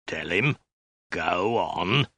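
A man's voice: fairly low pitch, moderate pace, creaky, bright, forceful tone, dry recording, heavily acted. A man voicing a bullying Mongol soldier who snarls blunt orders in a comic story. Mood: menacing.

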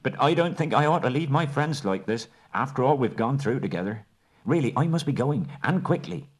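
A man voicing a small, shrewd male hobbit who talks in a brisk, businesslike, genteel way. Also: mid-range voice, animated, husky, voice pushed up. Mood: flustered.

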